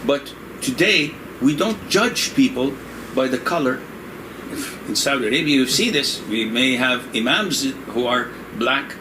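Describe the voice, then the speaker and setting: A man's voice: mid-range voice, lively intonation, unhurried. Older man scholar, joking, noisy room. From Indonesia. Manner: digressive, joking, laughs often. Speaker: Islamic scholar answering questions. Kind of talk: conversational religious discussion. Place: room in Saudi Arabia. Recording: noisy room with air conditioning hum.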